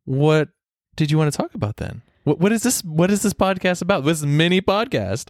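A man with a bassy voice co-hosting a casual podcast and joking around. His pitch swings a lot as he talks. The recording is dry and close. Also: brisk, dry recording. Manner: joking, goofy, animated. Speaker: podcast co-host. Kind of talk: casual chat.

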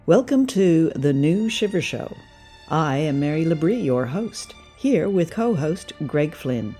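A man's voice: fairly high pitch, very animated, a little slow, warm tone, soft background music. A man hosting a radio drama show, reading a scripted introduction. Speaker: show host.

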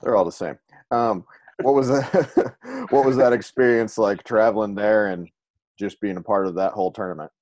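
Low-voiced joking interview host. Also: male voice, moderate pace, darkish tone, dry recording. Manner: loose, joking, stumbling over words. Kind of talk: casual interview hosting.

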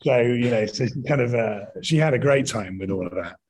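A man's voice: mid-range voice, animated, brisk, a little mellow, breathy edge, rough recording. A middle-aged British man answering conversationally in an interview, rambling and often rephrasing himself mid-sentence. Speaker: interview guest, touring actor and director.

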